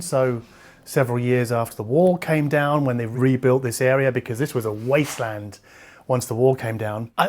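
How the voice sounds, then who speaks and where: A man's voice: mid-range voice, darkish tone. A man hosting an audio vlog, explaining things in a casual, conversational way. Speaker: host of an audio review channel.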